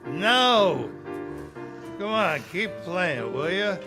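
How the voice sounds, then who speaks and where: An actor playing a bitter, heartbroken American cafe owner in 1940s radio drama style, sardonic, slangy and clipped. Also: male voice, high-pitched, sing-song, drawn-out words, heavily acted. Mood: brooding. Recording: live stage radio play with music.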